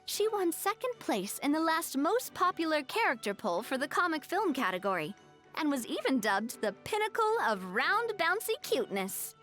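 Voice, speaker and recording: Game role: cheerful, refined young lady manager, high animated voice, articulate delivery, music underneath. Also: moderate pace. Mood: cheerful, proud. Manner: polished, articulate, upbeat explaining. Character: poised, cheerful young noblewoman running a theme park. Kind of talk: video game story dialogue acting. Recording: studio voice over game music.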